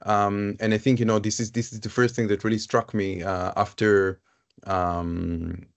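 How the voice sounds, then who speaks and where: Low-voiced thoughtful man moderating a talk. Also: little pitch movement, normal speed, gravelly. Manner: thoughtful, searching, repeats phrases. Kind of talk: live panel conversation.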